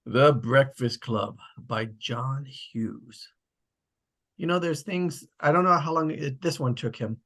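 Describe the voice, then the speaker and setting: A man with a slightly husky voice, casually hosting an online table read and narrating the stage directions. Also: mid-range voice, slow pace, fairly bright tone. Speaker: host and stage-direction reader. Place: online video call.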